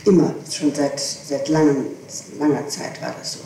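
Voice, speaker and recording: A woman's voice: low voice, steady tone, normal speed, mellow. A woman answering questions as a suspect in a police interrogation, giving her account with insistent precision about dates and times. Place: reverberant room, far from the microphone. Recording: echoey, distant, noisy archival tape recording.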